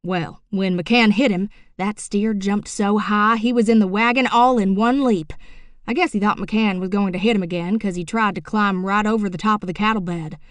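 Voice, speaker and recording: A woman's voice: mid-range voice, normal speed, dry recording, natural voice. Folksy uncle spinning a yarn, read by a woman. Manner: drawling folksy storytelling. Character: middle-aged rural man, folksy tall-tale teller. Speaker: audiobook narrator. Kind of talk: audiobook character reading.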